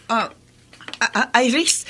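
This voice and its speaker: A woman's voice: fairly high pitch, takes it slowly, bright, forceful tone, slight background noise. A woman acting a desperate, pleading mother with a secret to guard, in a Filipino radio drama. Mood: nervous, evasive.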